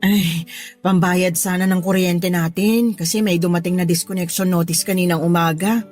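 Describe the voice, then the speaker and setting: Casting: actress for gentle, absent-minded mom in middle age, lowish voice, Tagalog radio drama. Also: female voice, fairly even intonation, normal speed, soft background music, natural voice. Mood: apologetic. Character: forgetful middle-aged mother, gentle and apologetic.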